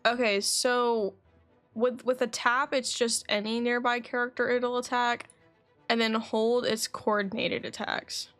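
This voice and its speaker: A woman's voice: fairly high pitch, measured pace. A woman livestreaming a game, chatting casually and thinking aloud. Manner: thinking aloud, animated exclamations. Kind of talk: casual gaming stream chat, reading quest dialogue aloud. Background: faint game music.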